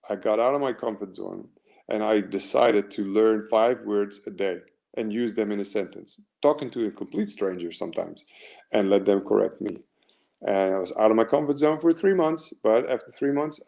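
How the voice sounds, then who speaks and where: A man's voice: lowish voice, gravelly, dark tone. A man in his forties with an American accent, giving an earnest, rambling motivational talk as a mental performance coach, full of fillers and restarts.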